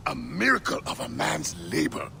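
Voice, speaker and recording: An actor playing a grandiose, zealous town builder of ancient Egypt in a video game, proclaiming in a theatrical, expansive way. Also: male voice, on the high side, sing-song, normal speed, creaky, background noise, heavily acted. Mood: effusive, welcoming. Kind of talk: video game drama acting.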